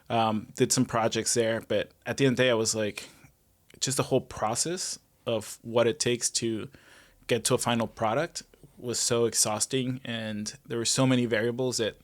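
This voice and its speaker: A man from Mexico, a guest telling his life story in a relaxed, rambling way with many fillers. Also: mid-range voice. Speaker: guest, restaurant general manager. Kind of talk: casual conversational interview.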